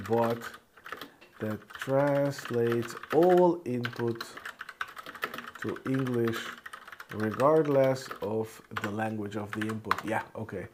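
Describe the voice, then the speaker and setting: A man whose first language is Hungarian gives an informal screencast programming tutorial, thinking aloud as he types. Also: mid-range voice. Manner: thinks aloud while typing. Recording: clear background noise, keyboard clicks. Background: computer keyboard typing and mouse clicks.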